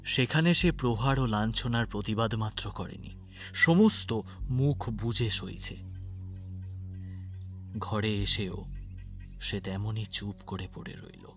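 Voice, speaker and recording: Slow-paced man narrating a story. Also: on the low side, soft background music. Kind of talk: dramatic scripted story narration.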